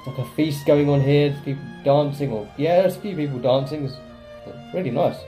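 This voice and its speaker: A man's voice: on the high side, moderate pace. A man hosting a game playthrough, commenting casually and reading in-game text aloud. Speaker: gaming video host. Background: game music.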